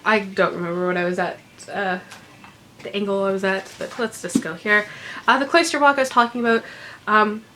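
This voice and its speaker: A woman's voice: mid-range voice, steady tone, brisk, sharp tone, a little hiss or hum. A woman chatting casually about books she has read, congested and recovering from illness, rambling with many ums and little laughs. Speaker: book vlogger. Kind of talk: casual unedited book chat.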